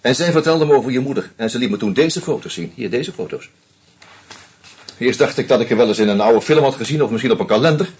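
Sly screenwriter sleuth, husky voice, mid pitch, methodical, needling, radio play. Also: male voice, expressive, fast talker, darkish tone. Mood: accusing. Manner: methodical, needling, dry irony. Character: shrewd male screenwriter unmasking a killer.

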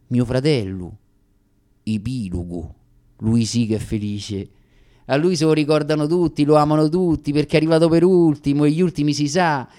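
A man's voice: on the high side, a little mellow, clean tone, natural voice. A man with a Roman Italian accent playing a self-mocking, plaintive stage prologue in a declamatory comic monologue for radio theatre. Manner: declamatory, with drawn-out pauses and sudden hurried bursts.

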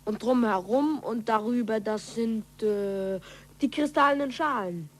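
A woman's voice: a little high, a little slow. A woman playing an earnest, curious twelve-year-old boy in a 1940s German radio play.

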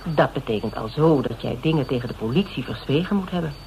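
An actor playing a persistent, polite private detective in a Dutch radio drama, speaking in a measured, explanatory, quietly probing way. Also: male voice, mid-range voice, animated, vocal fry. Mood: measured, insistent. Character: persistent male private detective questioning a suspect, methodical and polite. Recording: noisy old radio drama recording.

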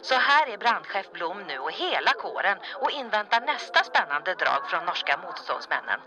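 Cast a woman as a polite barmaid on a late call, lively pitch, rowdy crowd behind. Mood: explaining. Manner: apologetic, polite. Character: apologetic female tavern keeper phoning late at night. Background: crowd of revellers.

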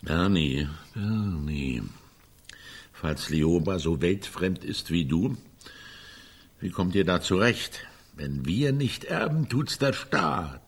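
An actor playing a pragmatic, sarcastic middle-aged older brother in a German radio play, dry and given to emphatic repetition. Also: male voice, low-pitched, expressive, takes it slowly, husky, full character voice. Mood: blunt, exasperated. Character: pragmatic, sardonic older brother, a business consultant.

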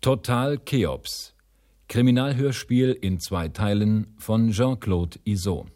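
A male announcer with a somewhat low voice reads scripted radio credits. He goes slowly and formally, setting each item apart in a measured way. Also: dark tone.

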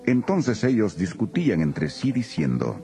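Deep, gravelly male scripture narrator. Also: unhurried, faint music behind. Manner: solemn, expressive reading.

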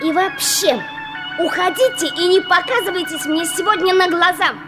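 A woman's voice: very high voice, normal speed, over loud music. A woman playing a stubborn, pert young schoolgirl in a radio play, with a childlike manner. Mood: defiant. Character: cheeky, stubborn, self-righteous young schoolgirl.

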